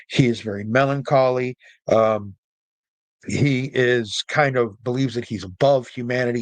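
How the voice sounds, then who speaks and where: Guy doing a chatty jokey commentary thing, mid voice, punchy side remarks, no room echo. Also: medium pitch. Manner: conversational, jokey, emphatic asides. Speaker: host, storyteller presenting to an audience. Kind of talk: casual humorous media commentary talk.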